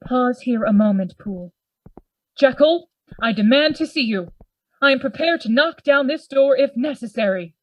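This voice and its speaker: A woman's voice: high voice, expressive, moderate pace, no echo, highs cut off. A woman acting a grave, commanding Victorian friend investigating a horror in a gothic radio drama, formal and urgent in manner. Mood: tense, demanding.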